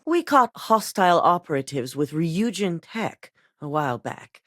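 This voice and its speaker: Cold game CEO: low, expressive voice, icy and commanding, speaks down to her hires. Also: a little slow, no room sound, clean studio sound. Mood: stern. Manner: curt, stern, condescending. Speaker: company chief giving orders. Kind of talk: video game voice acting.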